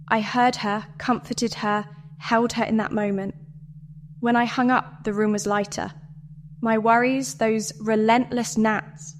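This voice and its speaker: A woman delivering a scripted guided meditation and dramatic monologue in a measured, deliberate way with pauses. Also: medium pitch. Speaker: meditation narrator.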